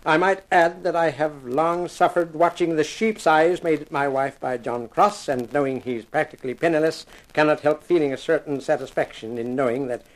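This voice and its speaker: An actor playing a formal, pompous family lawyer with precise diction in a 1950s radio drama. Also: male voice, on the high side, moderate pace, hardly any pauses, natural voice, rough recording. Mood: dry, formal. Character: formal, stuffy, lean male family lawyer.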